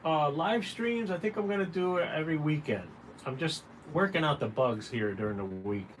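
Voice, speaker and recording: A man's voice: fairly high pitch, animated, normal speed. A man giving a casual, folksy drawing tutorial on a livestream, talking directly and encouragingly to viewers. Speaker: caricature artist hosting a drawing livestream. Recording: clearly noisy room ambience.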